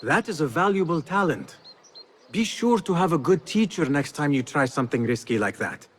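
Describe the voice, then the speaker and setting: A game hero: a young assassin, earnest and kind. His voice sits fairly high for a man and moves with very lively intonation. He comes across as modest. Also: moderate pace. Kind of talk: video game cutscene acting.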